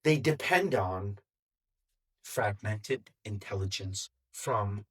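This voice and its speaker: A man giving solo opinion commentary in a measured, deliberate way, with emphatic pauses between phrases. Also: fairly low pitch, animated, a bit bright, husky. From United States. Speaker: commentary vlogger.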